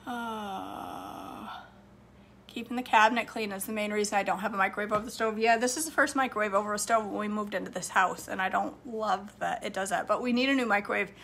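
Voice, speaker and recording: Chatty bright-voiced woman in her forties. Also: medium pitch, gravelly, a little hiss or hum. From Maine, USA. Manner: chatty, rambling, quick to laugh. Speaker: home cook and livestream host. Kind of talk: casual live-stream chat answering viewer comments. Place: home kitchen, indoors. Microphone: phone camera at arm's length.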